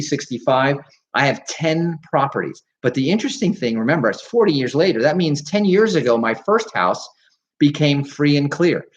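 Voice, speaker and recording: A man hosting a live radio talk show, with an energetic, salesy way of talking and frequent fillers. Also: on the high side, lo-fi sound. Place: radio studio.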